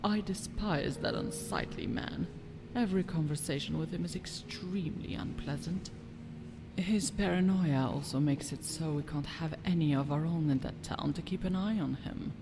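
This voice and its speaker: A woman's voice: deep voice, moderate pace, creaky, background noise. An actress playing a haughty, scheming fantasy noblewoman in a video game, with imperious, formal, disdainful diction. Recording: music behind the voice.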